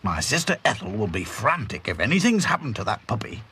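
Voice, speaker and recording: A man's voice: fairly low pitch, big pitch swings, fairly quick, sharp tone, a little hiss or hum, full character voice. A man voicing a grumpy, harried factory boss who frets and complains. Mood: fretful. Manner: fretful, grumbling.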